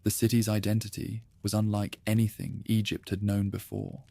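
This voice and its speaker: A man narrating history in a calm, slow, soothing sleep-story style. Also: on the low side, creaky, no room sound. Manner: slow, soothing, measured delivery.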